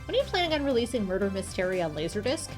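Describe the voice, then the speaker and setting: A woman's voice: medium pitch, very animated, very fast, music in the background, natural voice. A woman voicing a nerdy young male superfan in a visual novel, fussy, defensive and prone to stammering. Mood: pompous.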